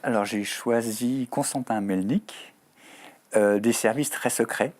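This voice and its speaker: A man's voice: mid-range voice, measured pace, a little mellow. A Swiss French man, a writer as a guest on a TV studio interview, speaking thoughtfully with frequent hesitations and fillers. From Switzerland. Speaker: author guest.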